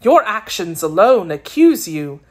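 A woman's voice: mid-range voice, sing-song, measured pace. A woman voicing a youthful princess ruler in a children's storybook, formal, composed and firm. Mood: accusing. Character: youthful princess ruler, polite but firmly commanding.

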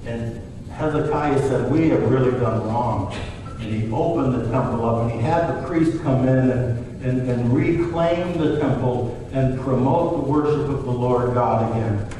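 A man's voice: medium pitch, unhurried, mellow. A middle-aged man preaching a sermon in a church sanctuary, telling the story in a conversational way and voicing the characters he quotes. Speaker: pastor. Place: church sanctuary, large room. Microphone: far camera at back of church. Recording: distant roomy church recording with hiss.

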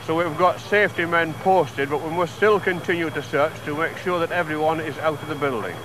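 Fire officer, man, formal clipped briefing, slightly high voice, loud street noise behind. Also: fairly even intonation, fairly quick. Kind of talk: on-scene news interview. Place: outdoors at a fire scene. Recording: noisy outdoor news footage.

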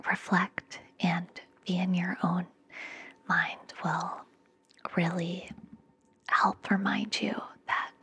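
A young woman whispering gently and reassuringly in a soothing, breathy ASMR style, close to the microphone. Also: mid-range voice. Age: young adult. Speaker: ASMR podcast host. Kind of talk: ASMR, soothing guided relaxation and affirmations. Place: indoor room, close up. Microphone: fluffy-covered microphone very close to the mouth.

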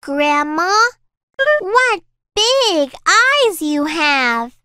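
High, sweet little girl. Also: big pitch swings, sharp tone, no room sound, clean studio sound, heavily acted. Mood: puzzled. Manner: innocent, sing-song, drawn-out. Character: sweet, trusting little girl in a fairy tale. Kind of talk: children's animated story acting.

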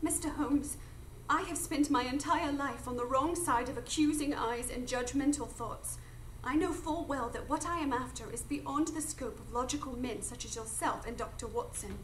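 A woman's voice: high-pitched, normal speed, distant mic, close to an everyday voice. A woman playing an earnest, wounded but proud lady in a live radio drama, speaking formally. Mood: wounded, guarded. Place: live stage before an audience. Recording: echoey live stage, faint noise.

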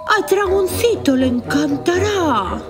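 Kindly cartoon dragon, high, unhurried, gentle. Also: female voice, animated, music in the background, heavily acted. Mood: welcoming. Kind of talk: children's cartoon acting.

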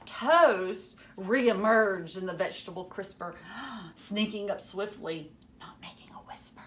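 A woman in her thirties giving an animated, dramatic picture-book read-aloud with teacherly asides in a room at home. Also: medium pitch, a little slow, background noise.

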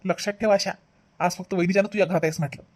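A woman's voice: mid-range voice, fairly even intonation, rapid-fire, darkish tone, lightly acted. A woman voicing a hot-tempered, accusing husband in a family comedy cartoon, repetitive and melodramatic, with a Vidarbha Marathi accent. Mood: angry, accusing. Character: hot-tempered husband devoted to his sister-in-law. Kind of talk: animated family comedy acting.

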